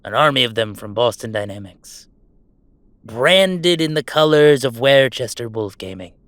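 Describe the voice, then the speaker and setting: A man's voice: mid-range voice, lively intonation, normal speed, fairly bright tone, dry recording, lightly acted. A man playing a goofy young werewolf streamer in an intimate roleplay audio drama, talking in casual, mock-grandiose banter with small hesitations. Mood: playful, mock-grandiose. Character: goofy young male werewolf streamer, playful, defiant about family. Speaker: game streamer.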